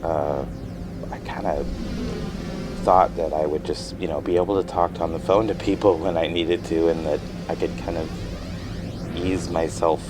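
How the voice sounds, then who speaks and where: Deep-voiced, hesitant man, audio drama. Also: fairly even intonation, music in the background. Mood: tentative. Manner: hesitant, halting, with false starts. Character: withdrawn, uneasy man recovering from brain injury. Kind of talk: naturalistic audio drama dialogue.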